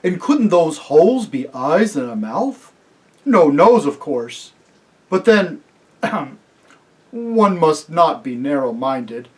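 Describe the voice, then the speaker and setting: A storybook reader voicing a gentle, thoughtful she-elephant who talks mildly and unhurriedly. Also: mid-range voice, big pitch swings. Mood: musing. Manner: mild, musing, unhurried.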